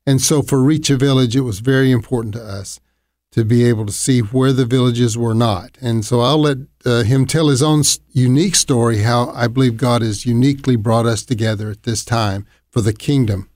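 An earnest man tells how his ministry got started, often pausing and restarting his sentences. His voice sits at a middle pitch. The recording is dry and close. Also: fairly even intonation, darkish tone, no room sound. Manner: earnest, halting, with fillers and restarts. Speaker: ministry leader, co-host. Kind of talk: sharing a ministry's origin story.

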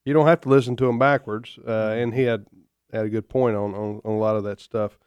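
A man in his fifties hosting a radio talk show, speaking in a folksy, opinionated way with many halting fillers. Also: on the low side, fairly even intonation, a little mellow, clean tone, no room sound, highs cut off. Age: 50s.

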